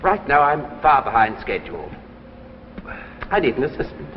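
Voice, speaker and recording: An actor playing an older, courteous and persuasive successful author in a 1950s radio drama, with a theatrical, gracious manner. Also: male voice, mid-range voice, sing-song, normal speed, lightly acted. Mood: persuasive, insistent. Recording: muffled, hissy old radio recording, reverberant.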